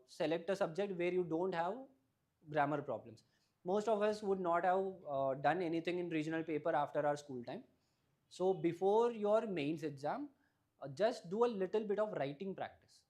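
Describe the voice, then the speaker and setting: A young man with a fairly high-pitched voice whose first language is Malayalam, speaking as an exam topper in an interview. He gives practical advice in a steady, explanatory way and often closes a point with a quick "right?". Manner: advisory, often ends points with a questioning "right?".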